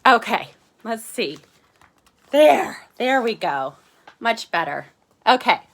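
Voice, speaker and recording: Slow, lively woman reading aloud to children. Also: on the high side. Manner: conversational, asks the children questions, explains gently. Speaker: kindergarten teacher. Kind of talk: read-aloud to young children.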